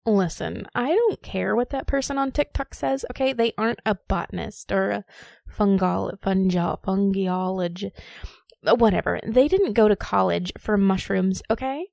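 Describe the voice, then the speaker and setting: A woman's voice: medium pitch, moderate pace, creaky, a little mellow, dry recording, studio quality, close to an everyday voice. A woman playing a dismissive, know-it-all vegan influencer, casual and emphatic with slang, in a parody monologue. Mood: dismissive, insistent. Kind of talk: parody social video monologue.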